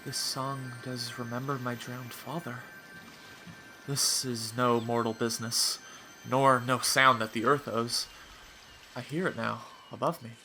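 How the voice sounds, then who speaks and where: An actor playing a grieving, lovestruck young shipwrecked prince, speaking earnest verse in a Shakespearean audio drama. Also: male voice, mid-range voice, level tone, unhurried, bright, forceful tone, soft background music. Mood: grieving wonder. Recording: noisy remote online recording.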